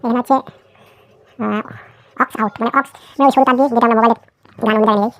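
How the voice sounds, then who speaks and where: A woman's voice: a little high, steady tone, very fast. A woman chatting casually as she helps present a product unboxing.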